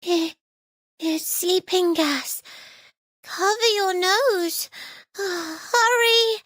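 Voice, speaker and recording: A woman's voice: very high voice, very slow, a bit bright, no echo, clean studio sound, heavily acted. A woman voicing a plucky, polite young girl adventurer who takes charge in a game. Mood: alarmed. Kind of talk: game voice acting.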